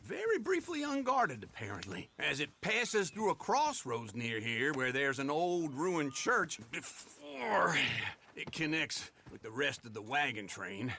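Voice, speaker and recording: Creaky, wheedling old outlaw schemer. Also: male voice, on the high side, measured pace, a little hiss or hum, exaggerated voice. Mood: sly, persuasive. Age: older. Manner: wheedling, animated. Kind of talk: video game cutscene acting.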